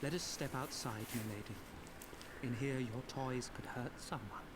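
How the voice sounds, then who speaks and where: A man's voice: mid-range voice, moderate pace, noisy background, close to an everyday voice. An actor playing a haughty, menacing zealot inquisitor in a video game, pompous and theatrical as he savors each word. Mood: cold contempt. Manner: pompous, mannered, savoring words. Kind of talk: video game villain acting.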